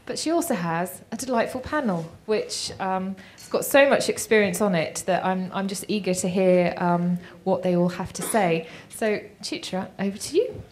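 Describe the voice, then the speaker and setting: A woman's voice: mid-range voice, moderate pace, few pauses, a little hiss or hum. Woman host introducing a session. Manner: warm, filler-laden introductions. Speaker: event host.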